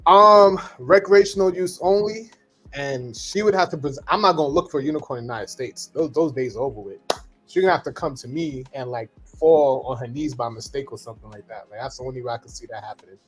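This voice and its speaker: A man's voice: mid-range voice, quick pace, a bit bright, soft background music. A man from Trinidad, co-hosting a casual podcast chat and talking bluntly with jokes and repeated words. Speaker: podcast co-host.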